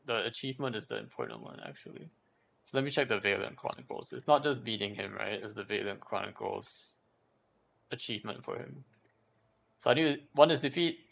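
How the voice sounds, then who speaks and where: A man's voice: medium pitch, creaky, fairly bright tone. A man giving casual live gameplay commentary, thinking aloud as he repeats and questions himself. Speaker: game livestreamer.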